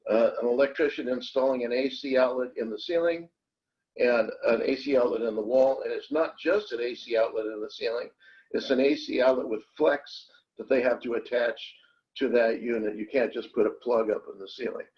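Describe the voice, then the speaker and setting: A man giving an explanatory technical talk as a product expert, with a halting, hesitant delivery. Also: medium pitch. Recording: dull, band-limited webinar audio.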